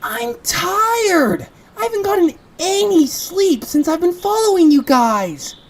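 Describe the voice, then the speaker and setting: A woman's voice: very high-pitched, lively intonation, slow pace, a little hiss or hum, heavily acted. A woman voicing a small, whiny, childlike tagalong in an audio drama, with an exclamatory delivery. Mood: excited, exasperated. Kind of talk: animated audio drama acting.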